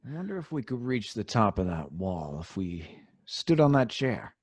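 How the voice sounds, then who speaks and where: Edgy sidekick crook, husky, lively swinging pitch, grumbling, stammering, old-time radio drama. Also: male voice, normal speed, dry recording, studio quality. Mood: uneasy, sarcastic. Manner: jittery, stammering, complaining. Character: nervous, grumbling male accomplice in a caper.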